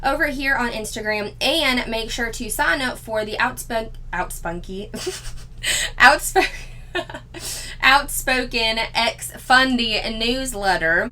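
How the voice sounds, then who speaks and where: A slightly hoarse woman, chatting casually as a commentary vlog host who laughs often. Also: fairly high pitch, pushed and bright, a little hiss or hum. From Four-State area of Missouri, Arkansas, Kansas, Oklahoma.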